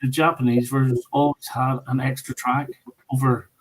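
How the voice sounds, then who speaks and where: A man's voice: medium pitch, little pitch movement, moderate pace, rough recording. Opinionated man chatting about records. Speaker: record collector on a chat show. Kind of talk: casual chat about vinyl records.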